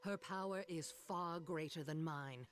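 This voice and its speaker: A woman's voice: sits low, unhurried. Over game music, a stern older sorceress. She is driven and ruthless, a mother who speaks with commanding intensity and dramatic weight. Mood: grave, resolute. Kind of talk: dramatic video game acting.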